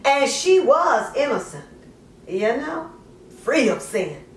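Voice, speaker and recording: A woman performing a dramatic monologue poem as a troubled, self-justifying mother, colloquial, drawn-out and confessional. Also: sits high, big pitch swings, exaggerated voice. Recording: echoey room, distant mic.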